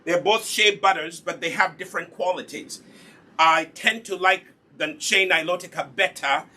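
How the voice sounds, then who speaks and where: A Ugandan man with a fairly high, bright voice that has a husky grain. He hosts a live demonstration in a casual, chatty way. He instructs as he goes and often repeats key points for his viewers. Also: moderate pace. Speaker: host, natural hair product seller and demonstrator.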